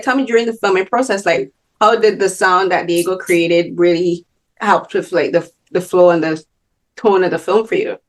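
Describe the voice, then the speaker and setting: A young woman with a Bajan accent, hosting a casual interview. Her voice sits a little low. She talks in long, rambling sentences, breaks off and starts again, and fills her speech with "like.". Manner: rambling, many restarts and "like" fillers. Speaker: host.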